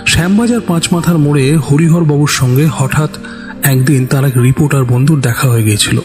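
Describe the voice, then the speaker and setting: Male story narrator over soft music. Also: fairly high pitch, fairly quick. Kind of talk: scripted dramatic story narration. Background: background music.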